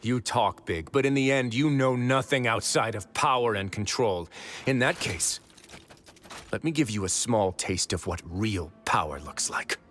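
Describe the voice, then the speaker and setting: Deep-voiced, imposing prison duke. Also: male voice, unhurried, fairly bright tone, faint music behind. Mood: cool menace. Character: calm, imposing male duke who runs a prison fortress. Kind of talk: video game voice acting.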